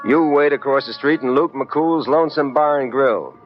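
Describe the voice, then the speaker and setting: A man's voice: mid-range voice, moderate pace, natural voice. A man acting a brash, wisecracking American private eye in an old radio detective drama, tough and slangy as he tells his case. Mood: hard-boiled narrating. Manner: tough, slangy, hard-boiled. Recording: muffled old radio recording with music.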